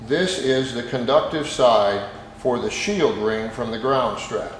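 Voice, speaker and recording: A man with a mid-pitched voice walks through a hands-on technical demonstration. His instructions are plain-spoken and go step by step. The sound is echoey with long reverb. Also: takes it slowly. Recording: very echoey, reverberant room with background noise.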